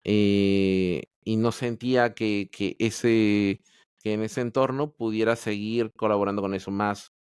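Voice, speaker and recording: A man's voice: fairly low pitch, steady tone, a little slow, darkish tone, clean tone, no room sound, clean studio sound. A man from Peru, telling his story as a podcast guest in a candid, hesitant way full of restarts. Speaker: podcast guest, Android developer. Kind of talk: casual interview storytelling.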